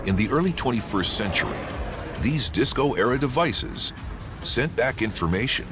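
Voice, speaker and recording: A man's voice: mid-range voice, big pitch swings, moderate pace. A man reading scripted documentary narration. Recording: music behind the voice. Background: loud background music.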